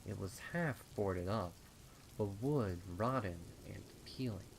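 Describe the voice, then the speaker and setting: A man with a fairly low voice narrates a horror tale. He takes it very slowly, with ominous, dramatic phrasing. Rain is clearly heard behind the reading. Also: slight background noise, low-quality recording. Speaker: fan fiction narrator. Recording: rain and storm ambience behind.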